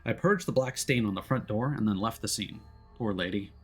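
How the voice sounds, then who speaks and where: A man's voice: lowish voice, fairly quick, slightly rough, soft background music. A man live-streaming a game, reading its story aloud expressively and dropping in casual asides. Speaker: streamer playing a visual novel.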